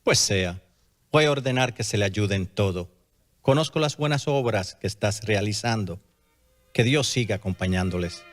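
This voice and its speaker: An actor playing a gracious, majestic crown prince in a formal, stately radio drama manner. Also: male voice, fairly low pitch, animated, moderate pace, soft background music, natural voice.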